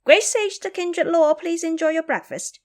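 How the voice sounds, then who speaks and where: Woman voicing an eager, high-pitched little monkey. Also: normal speed. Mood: eager, deferential. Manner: deferential, loud calling. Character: small, eager, servile monkey underlings.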